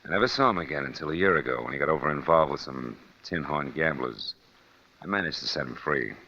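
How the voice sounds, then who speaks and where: An actor playing a shrewd, wisecracking American private detective in mid-century radio noir style, with a wry, clipped, hard-boiled manner. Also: male voice, a bass voice, lively intonation, fast, bright, forceful tone, full character voice. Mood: wry, guarded. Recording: dull old radio recording, faint music.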